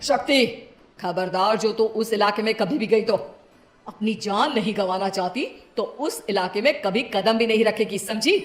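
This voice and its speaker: A woman's voice: medium pitch, moderate pace, roomy sound, soft background music, lightly acted. A woman playing a stern, protective older transgender mother figure in a cartoon story, speaking in a firm, scolding way. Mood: angry warning. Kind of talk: cartoon moral story acting.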